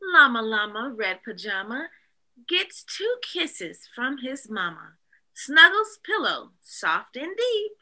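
A woman in an expressive, animated read-aloud style, speaking directly to young children as a teacher would. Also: a little high, slow pace. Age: adult.

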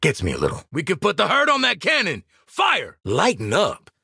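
Cocky gravelly American mercenary marksman. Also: high voice, wildly swooping pitch, normal speed, bright, forceful tone, no room sound, clean studio sound, heavily acted. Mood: cocky, dry. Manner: brash, sardonic, swearing, clipped commands. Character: cocky, gruff male mercenary marksman, sarcastic squad soldier. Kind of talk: video game combat voice lines.

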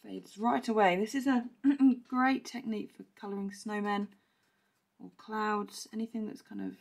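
Croaky-voiced woman teaching a craft. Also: mid-range voice, steady tone, normal speed. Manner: chatty, clears throat often. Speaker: papercraft demonstrator. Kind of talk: casual step-by-step craft tutorial.

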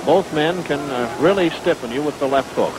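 A noisy vintage 1950s television recording carries a man's high-pitched voice. He is an American boxing commentator calling the fight live from ringside in the sportscasting style of that era. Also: normal speed. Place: ringside at an indoor stadium.

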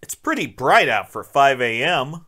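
Bright, animated wisecracking man. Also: mid-range voice, a little slow. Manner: wisecracking, crude, sarcastic. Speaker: podcast host reviewing a film. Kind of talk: sarcastic comedic film recap commentary.

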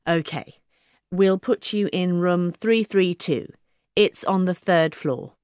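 A polite British receptionist, as an actress plays her in a course-audio dialogue. She speaks slowly and carefully, with clear, measured words. The recording is dry and close. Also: on the low side, darkish tone, no echo. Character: helpful woman giving directions, hotel receptionist. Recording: language-course audio played on a call.

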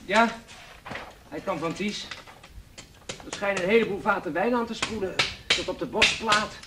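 A man's voice: high voice, moderate pace, lightly acted. A man acting an earnest, stubborn young beachcomber's son in a noisy 1960s radio play. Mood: subdued.

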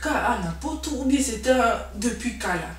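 A woman's voice: on the high side, animated, moderate pace, a little hiss or hum. Young woman host on a sofa, eager intros, echoey room, mic kinda far. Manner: enthusiastic introductions, prompting questions. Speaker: talk show host. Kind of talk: hosting an interview in French mixed with Lingala. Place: living room studio set, on a sofa.

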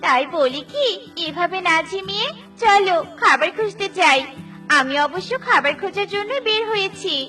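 Cartoon bird, small and female, extremely high-voiced, chirpy, caring and inquisitive, over clear music. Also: lively intonation, normal speed, bright, forceful tone, heavily acted. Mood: chirpy, concerned. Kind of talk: Bengali children's cartoon dialogue. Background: children's music.

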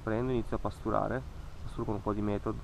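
Earnest Italian-speaking man, gravelly voice. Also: lowish voice, level tone, very fast, slight background noise, poor audio. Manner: earnest, cautionary, repeats words for emphasis. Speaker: fishing vlogger. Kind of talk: reflective voice-over narration. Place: outdoors by a lake among trees.

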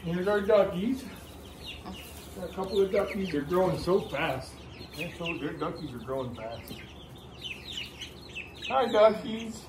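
A man's voice: high voice, expressive, measured pace, long pauses. A middle-aged American man casually narrates farm chores in a folksy, chatty, unscripted way. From Michigan, United States. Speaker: farm vlogger. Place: open barn and farmyard. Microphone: handheld phone camera. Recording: noisy handheld farm recording with poultry. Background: clucking chickens, birds, outdoor ambience.

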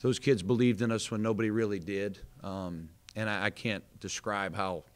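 A somewhat low-voiced American man in his thirties speaks at a postgame press table into a mic in front of him. He is a basketball coach talking candidly, circling back with fillers and restarts as he gathers his thoughts. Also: fairly even intonation, moderate pace, clean tone. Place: indoor press room in front of a sponsor backdrop. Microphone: table microphone in front.

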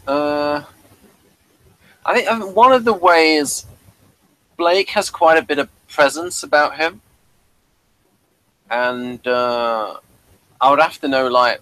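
Male review host, mid-pitched, hesitant and rambling, informal, dry recording. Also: sharp tone, no echo, low-quality recording. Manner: hesitant, rambling, addresses listeners. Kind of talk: casual episode review chat.

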